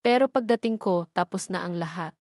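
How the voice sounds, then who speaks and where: A woman's voice: fairly low pitch, a little fast, darkish tone, dry recording, clean studio sound, close to an everyday voice. Woman voicing a sweet devoted young female fan. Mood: heartfelt. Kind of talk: audio drama storytelling.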